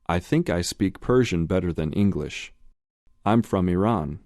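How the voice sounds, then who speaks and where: A man reading a very deep, slow textbook speaker. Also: animated, a little mellow, no room sound, clean studio sound. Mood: plain polite. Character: polite man answering in a textbook dialogue. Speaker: dialogue reader in an English lesson. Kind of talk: slow scripted textbook dialogue.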